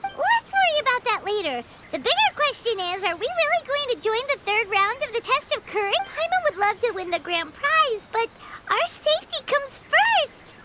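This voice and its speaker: Tiny squeaky, very high-pitched fairy companion. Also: female voice, normal speed, very noisy. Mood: nervous, cautious. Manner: refers to self in third person, expressive. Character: tiny childlike female fairy companion, timid, excitable. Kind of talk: video game voice acting.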